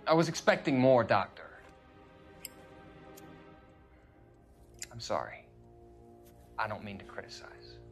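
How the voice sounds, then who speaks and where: An actor playing a polite, earnest young medical student with quiet defiance in a video game drama. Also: male voice, medium pitch, very animated, moderate pace, music in the background, lightly acted. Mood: doubtful, apologetic. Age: young adult.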